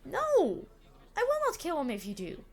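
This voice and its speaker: A woman playing a weary immortal supervillain in an ASMR roleplay, an exasperated boss who is gentle with hostages, speaking formally with dry sarcasm. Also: extremely high pitch, wildly swooping pitch, moderate pace, heavily acted. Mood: indignant. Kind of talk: scripted ASMR roleplay acting.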